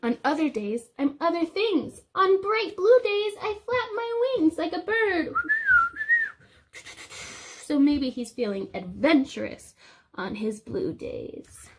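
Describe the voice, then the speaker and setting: A young woman reading a picture book aloud to small children, expressive and sing-song, explaining things simply as a teacher would. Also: high voice, slow pace. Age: young adult. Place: small indoor room.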